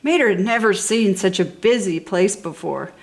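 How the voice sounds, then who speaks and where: A woman's voice: medium pitch, normal speed, creaky. A woman reading a children's story aloud with enthusiasm and dramatic emphasis. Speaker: storybook reader. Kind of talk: expressive children's story narration.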